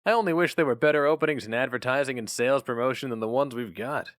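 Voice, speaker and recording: A man's voice: mid-range voice, fast talker, a bit bright, no room sound, clean studio sound, pitched above the performer's own voice, natural voice. A man voicing a polite, unimpressed young male interviewer with a pleasant, philosophical manner.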